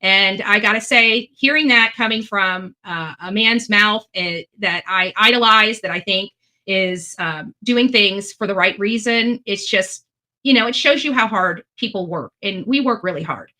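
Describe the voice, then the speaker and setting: A woman's voice: medium pitch, unhurried, pushed and bright. A woman talking on her livestream in a rambling, proud and defensive way, repeating herself often.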